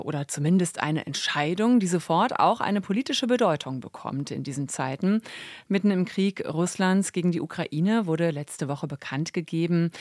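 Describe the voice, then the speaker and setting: A woman's voice: low-pitched, no room sound, studio quality. A woman presenting a podcast as host, reading scripted radio narration.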